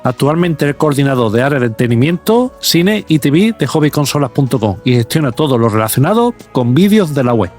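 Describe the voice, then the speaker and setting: A man's voice: mid-range voice, very animated, brisk, few pauses, a little mellow. A man presenting as a podcast host, reading a scripted introduction. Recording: music bed behind the voice. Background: background music.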